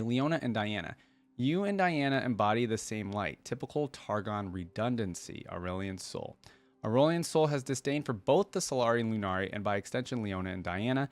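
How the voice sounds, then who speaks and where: A man hosting a reaction video in an informal way, reading text aloud and thinking out loud about it. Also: mid-range voice. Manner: informal, thinking aloud between read passages. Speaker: reaction channel host.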